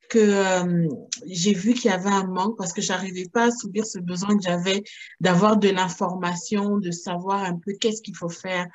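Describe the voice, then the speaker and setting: A woman from Cameroon, a guest founder of a maternal wellness business, speaking casually in an interview and pausing often to restart her thoughts. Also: fairly low pitch, darkish tone. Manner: thoughtful, with frequent hesitations and restarts.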